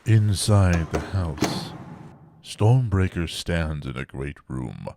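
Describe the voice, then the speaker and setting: An ominous horror narrator played by a man with an extremely low, creaky voice. He tells the audio drama gravely and slowly, letting each grim phrase hang. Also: animated, dark tone, no echo, clean studio sound, full character voice. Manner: slow, ominous, deliberate storytelling.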